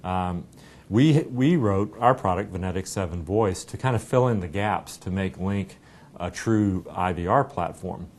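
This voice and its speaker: A middle-aged American man giving a conversational, explanatory software product demonstration in an informal way. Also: sits low, measured pace. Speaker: software company representative giving a product demo. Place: indoor meeting room. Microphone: lapel mic.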